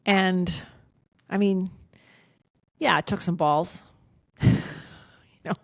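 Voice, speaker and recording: A woman in her fifties, a podcast guest, talking candidly in an outspoken, emphatic way with a loud voice, swearing freely. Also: lowish voice, fairly even intonation, extremely slow, vocal fry, no room sound, studio quality. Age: 50s. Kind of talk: candid casual conversation.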